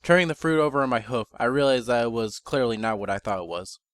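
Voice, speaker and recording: Male narrator, medium pitch, measured bookish delivery, scripted fantasy story, dry recording. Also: lively intonation, no room sound. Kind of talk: scripted first-person story narration, dramatic reading.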